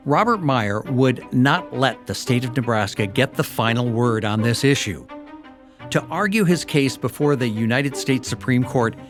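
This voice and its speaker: A man hosting a history podcast, narrating in a clear storytelling manner. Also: mid-range voice. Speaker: podcast host. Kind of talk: scripted documentary narration.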